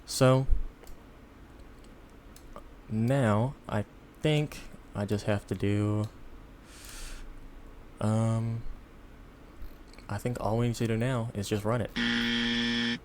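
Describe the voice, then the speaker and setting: A man giving a casual, unscripted software tutorial in an informal, halting, step-by-step way, with background noise. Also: medium pitch, steady tone. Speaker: tutorial presenter.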